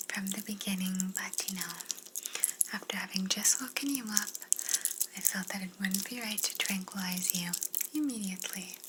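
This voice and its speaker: A woman playing a polite, soothing female alien abductor in a whispered ASMR roleplay, gently reassuring and clinical in manner. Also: mid-range voice, very noisy, lightly acted. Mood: soothing, attentive. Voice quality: breathy unvoiced whisper.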